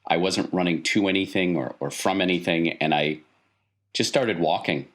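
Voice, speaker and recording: A man's voice: sits low, moderate pace, fairly bright tone. A man playing a patient but evasive wandering mystic uncle in a tabletop game, reflective and given to repeating himself.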